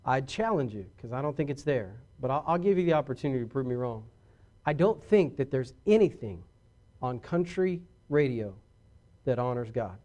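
A pastor preaching a sermon, shifting from quiet confiding asides to loud emphatic declarations. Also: male voice, medium pitch, sing-song, moderate pace.

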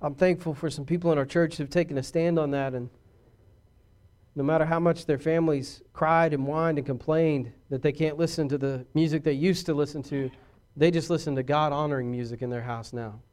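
A pastor preaching a sermon, shifting from quiet confiding asides to loud emphatic declarations. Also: male voice, fairly high pitch, steady tone, a little fast.

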